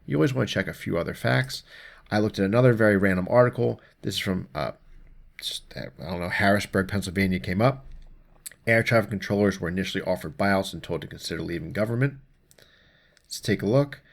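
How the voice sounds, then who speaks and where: Deep-voiced man with a gravelly creak. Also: brisk. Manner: informal, conversational, mildly profane. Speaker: host of an explainer show. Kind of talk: casual news commentary, reading articles aloud.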